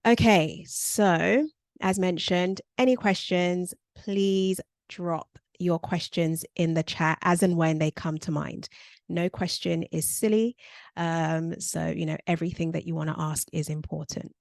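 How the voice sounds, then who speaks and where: A woman's voice: on the low side, steady tone, a little slow, vocal fry, darkish tone, dry recording, studio quality. A woman, presenting a career workshop over a video call in a conversational way with frequent ums. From born in Nigeria, raised in South London.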